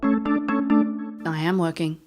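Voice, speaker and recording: A woman's voice: low-pitched, little pitch movement, drawn-out words, loud music behind the voice. An actress playing a cocky, blunt female elf detective in an audio drama. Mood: breezy. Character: brash, wry female elf detective, ex-military. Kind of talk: audio drama dialogue and first-person narration.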